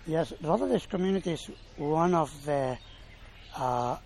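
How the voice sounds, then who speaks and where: A man with a slightly higher voice speaks in halting, self-correcting explanations, answering questions at an informal gathering. He talks outdoors, with a faint hum of ambient noise behind him. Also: expressive, creaky, a little mellow. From Spain. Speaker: college representative. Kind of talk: informal Q&A presentation.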